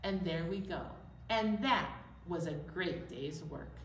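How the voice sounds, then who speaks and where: A woman's voice: on the low side, slow, a bit bright. An older woman leading a singsong children's storytime activity as a library presenter. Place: indoor library room. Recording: roomy echo, distant mic, faint noise.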